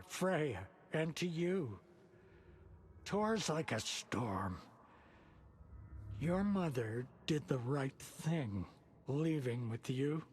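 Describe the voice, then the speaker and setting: Slow, portentous old rocker grandfather. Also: a little high, a little hiss or hum. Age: older. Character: old male rocker seer, grandfatherly, cryptic, salty-tongued. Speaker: actor in a video game. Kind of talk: dramatic game cutscene acting.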